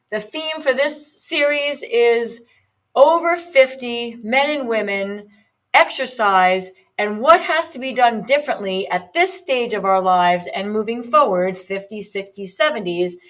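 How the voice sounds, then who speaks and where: A woman's voice: medium pitch, a bit bright. Chatty fifties woman hosting a podcast. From United States. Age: 50s. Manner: chatty, rambling, with many ums and restarts. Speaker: podcast host and fitness trainer. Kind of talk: solo podcast talk.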